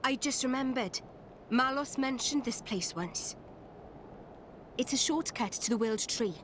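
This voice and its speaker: A woman's voice: high voice, lively intonation, measured pace, noisy background. A woman playing a blunt, frank young female adventurer in a video game, speaking directly. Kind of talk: dramatic video game cutscene acting. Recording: loud music behind. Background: game soundtrack music.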